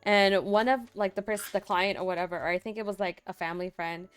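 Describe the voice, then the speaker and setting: A young woman streamer in casual chat at home, talkative and laughing often, acting out quotes in a higher voice. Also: steady tone, a little fast, clear, resonant tone, no echo. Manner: chatty, laughs often, acts out quotes in a high voice. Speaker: streamer host. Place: home room.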